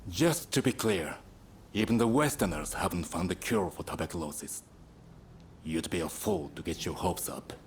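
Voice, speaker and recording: An actor playing a sober, blunt physician in a video game drama, grave and matter-of-fact. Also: male voice, sits low, expressive, moderate pace, slight background noise. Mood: sober, cautioning.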